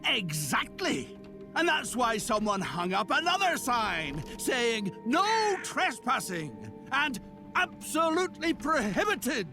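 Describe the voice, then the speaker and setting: Pompous official, sarcastic, high swooping voice. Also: male voice, wildly swooping pitch, slow, exaggerated voice. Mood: scolding. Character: fussy male town official, pedantic. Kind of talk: video game cutscene voice acting. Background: game music.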